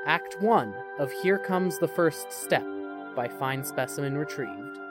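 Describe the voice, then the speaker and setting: A man's voice: on the high side, expressive, takes it slowly. A man reading scripted title announcements for a fiction podcast. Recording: loud music under the voice. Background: loud new-age instrumental music.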